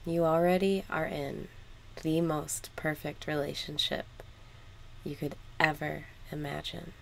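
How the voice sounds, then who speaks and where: A woman leading a scripted guided meditation in a measured, instructional way with long pauses. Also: sits low, little pitch movement, creaky, a little hiss or hum, rough recording.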